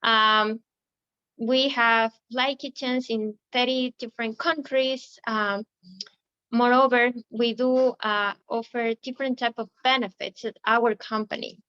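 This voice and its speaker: A woman from Ecuador with an Ecuadorian Spanish accent, presenting as a recruiting manager on a webinar. She speaks very slowly and haltingly, with a bright, smooth, fairly high voice. The video call audio is muffled and lo-fi. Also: steady tone. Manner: slow and halting, many fillers. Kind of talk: presenting company slides on a webinar.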